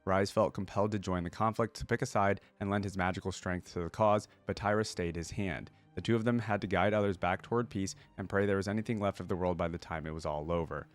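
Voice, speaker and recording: A man's voice: low-pitched, fairly even intonation, fast, hardly any pauses. A man hosting a reaction video, chatting informally and reading lore aloud with commentary.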